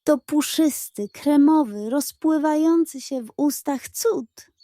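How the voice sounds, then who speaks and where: Woman playing a theatrical high-voiced scheming pug. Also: takes it slowly, warm tone, no room sound, studio quality. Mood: eager, persuasive. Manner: pleading, theatrical, grandly self-important. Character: scheming, hungry, dramatic male pug dog.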